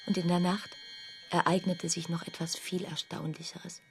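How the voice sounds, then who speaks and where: A woman narrating a literary radio play as a quiet storyteller of uncanny events, with a husky, breathy voice. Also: on the low side, little pitch movement, moderate pace, slight background noise, natural voice. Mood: quiet wonder.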